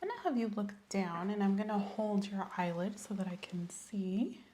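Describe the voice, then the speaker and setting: Soft, mellow woman doctor, acted out, half-whispering. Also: medium pitch, expressive, normal speed, slight background noise, natural voice. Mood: calm, reassuring. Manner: soothing, instructional, checks in gently. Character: calm, attentive woman doctor doing a gentle eye exam. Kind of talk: soft-spoken ASMR medical roleplay.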